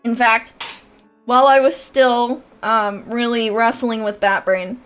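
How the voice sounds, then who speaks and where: A woman's voice: fairly high pitch, steady tone, measured pace, fairly bright tone, clear, resonant tone, faint music behind. A young woman with a nasal voice, chatting casually and rambling on a livestream, with lots of fillers and laughs. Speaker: VTuber streamer and creator coach.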